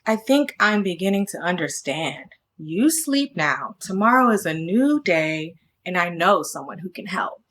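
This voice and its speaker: A woman voicing a caring auntie in a storybook, softly coaxing and reassuring. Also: fairly low pitch, lively intonation, a little slow, hardly any pauses. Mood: gentle, coaxing. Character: caring, gentle Lakota auntie speaking to her niece.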